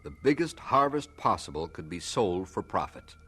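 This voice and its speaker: A man narrating a radio drama as a solemn storyteller, measured and dramatic, addressing the hero directly. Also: low-pitched, big pitch swings, noisy background.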